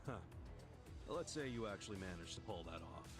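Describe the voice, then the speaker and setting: An actor playing an easygoing, defiant, good-hearted yakuza hero in a video game, cheeky and unflappable in plain-spoken lines. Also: male voice, lowish voice, animated, a little fast, natural voice. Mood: cool challenge. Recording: game dialogue under loud music.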